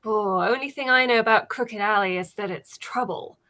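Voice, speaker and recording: A woman's voice: mid-range voice, moderate pace, pushed and bright, lightly acted. A woman playing a busy, gossipy tavern server in a tabletop game, speaking casually and hesitantly. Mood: wary, noncommittal.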